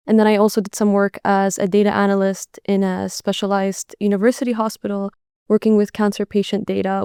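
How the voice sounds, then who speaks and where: A young woman introducing herself in an interview in an even, matter-of-fact way with a few ums. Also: mid-range voice, clean tone, dry recording, studio quality. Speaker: guest.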